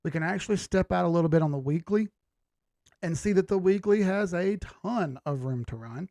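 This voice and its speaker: A man with a slightly high voice and a lot of fry in it. He talks like a livestream host, loose and slangy, thinking aloud as he goes. The sound is dry and close. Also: expressive, normal speed, dark tone, dry recording. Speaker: host of a live market analysis stream. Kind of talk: casual live chart commentary.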